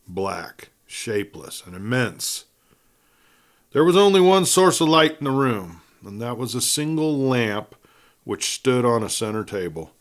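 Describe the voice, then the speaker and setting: A man's voice: mid-range voice, a bit bright. Man narrating a western, folksy storyteller. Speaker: audiobook narrator and host.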